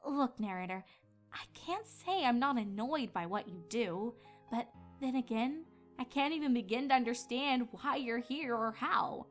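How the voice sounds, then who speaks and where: A woman's voice: on the high side, a little slow, soft background music, natural voice. A woman voicing an earnest, easily flustered young female pony princess in a fan fiction audio drama. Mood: apologetic, earnest.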